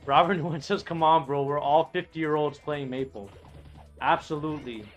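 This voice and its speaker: A man's voice: fairly high pitch, moderate pace, soft background music. A man in his late thirties streaming a game, chatting casually and reading viewer messages aloud in a rambling, profane way. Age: 30s. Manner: rambling, profane, restarts sentences. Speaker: streamer playing an online game.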